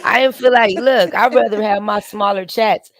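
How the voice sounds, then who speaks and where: A woman's voice: mid-range voice, fairly even intonation, normal speed, no echo. A woman chatting casually as a livestream host, gossipy and sassy, with slangy, profane banter.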